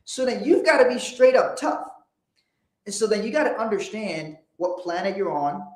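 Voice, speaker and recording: A man's voice: high-pitched, very animated, moderate pace, roomy sound, low-quality recording. A man from Liberia, hosting a motivational livestream in a blunt, profane, emphatic way. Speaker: host.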